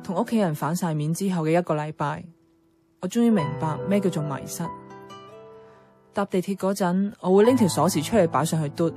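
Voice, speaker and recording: A woman's voice: lowish voice, fairly quick, natural voice. A woman with a Hong Kong Cantonese accent playing a guarded, blunt young office worker in a radio drama, speaking in a dry, matter-of-fact way. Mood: weary, despairing. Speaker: radio drama actress and first-person narrator. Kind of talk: radio drama narration and dialogue. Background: background music.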